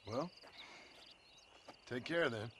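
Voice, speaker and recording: An actor playing a gruff, wry but decent Old West gunslinger in a video game, laconic, with an American accent. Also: male voice, medium pitch, animated, extremely slow, background noise. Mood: wry concern. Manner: laconic, dry. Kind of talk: video game western acting.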